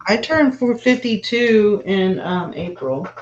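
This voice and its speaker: A woman's voice: mid-range voice, expressive, slow pace, a little mellow, lo-fi sound. A woman in her fifties chatting as a guest on a livestream, teasing and dry. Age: 50s. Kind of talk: casual chat.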